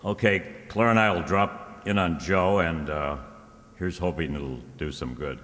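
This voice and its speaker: A deep male voice rings in a big hall: a man acting an easygoing private eye in an old-time radio play. He talks colloquially and steadily, taking charge and reassuring. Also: unhurried, a bit bright. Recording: roomy hall with long reverb.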